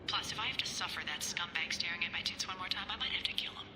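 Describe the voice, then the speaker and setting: A woman's voice: on the low side, fast, background noise, lightly acted. A woman voicing a cool, menacing alien crime boss in a video game, scornful and sardonic. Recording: roomy echo, music under the dialogue.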